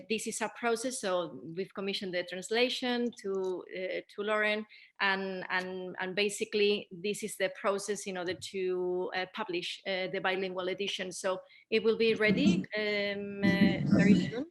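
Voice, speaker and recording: A woman moderating a post-show theatre Q&A as host, speaking in halting, repetitive phrases. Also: medium pitch, steady tone.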